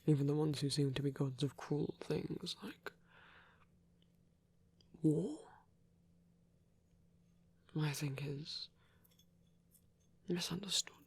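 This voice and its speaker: Breathy, whispering gentle vampire priest, acted by a performer. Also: mid-range voice. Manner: gentle, measured, thoughtful, slightly formal. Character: gentle, soft-spoken male vampire priest, devout and caring. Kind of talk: intimate ASMR roleplay.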